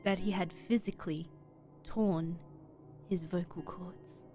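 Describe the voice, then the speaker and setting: A woman streamer reading a horror story aloud in expressive, dramatic narration with emphatic pauses. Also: on the low side. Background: background music.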